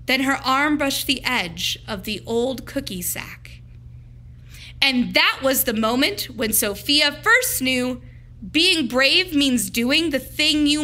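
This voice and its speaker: A woman reads a picture book aloud in a high, bright voice. Her phrasing is slow and deliberate, shaped dramatically for young children, as a teacher does at storytime.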